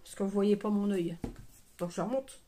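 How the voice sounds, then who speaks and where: A woman's voice: medium pitch, normal speed, noisy background. A woman giving a casual live make-up tutorial, chatting familiarly with her viewers and throwing in playful asides. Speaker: live make-up streamer and beauty seller.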